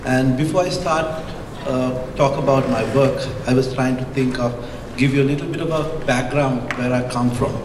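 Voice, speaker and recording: A middle-aged man from Sri Lanka with a Sri Lankan accent, giving an architecture lecture into a handheld mic, halting and full of fillers. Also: medium pitch, steady tone. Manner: hesitant, searching for words, frequent fillers. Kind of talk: presentation with slides. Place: lecture hall, indoors. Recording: echoey hall with background hum.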